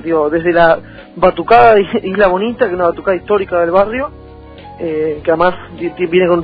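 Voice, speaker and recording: A young man with a Buenos Aires accent speaks in a high voice as a political guest on the radio. His talk is quick but halting, with many false starts. The sound is dull and lo-fi, with music behind it. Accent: Buenos Aires Argentine. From Avellaneda, Buenos Aires, Argentina. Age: young adult. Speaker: political candidate being interviewed.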